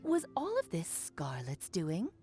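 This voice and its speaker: A woman's voice: on the low side, wildly swooping pitch, slow, mellow, soft background music, exaggerated voice. An actress playing a playful, eccentric mother witch, coy and grandly formal, in a video game cutscene.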